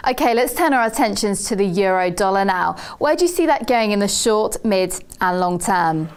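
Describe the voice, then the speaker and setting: A woman's voice: medium pitch, lively intonation, moderate pace, clean tone. A woman hosting a financial news interview, putting questions in a presenter's style. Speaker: TV host interviewer.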